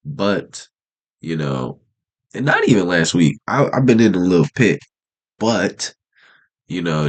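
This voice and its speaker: Stuffy-nosed young man, very low voice. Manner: laid-back, rambling slang talk with many fillers. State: congested, stuffy nose. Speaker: vlogger. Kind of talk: unscripted casual monologue to camera. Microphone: camera mic.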